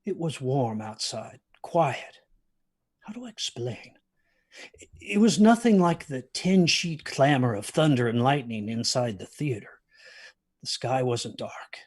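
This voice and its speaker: An actor playing an anxious, guilt-ridden young son, narrating a story in the first person with literary intensity. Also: male voice, mid-range voice, animated, a little slow, husky. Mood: tense, reflective.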